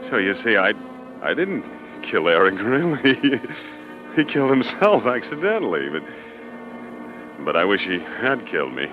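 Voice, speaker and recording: A man's voice: lowish voice, sing-song, over music. An actor playing a frightened, guilty man who stammers as he unravels, in 1940s radio suspense style. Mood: drained, confessing. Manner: halting, stammering. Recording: dull, band-limited vintage radio recording.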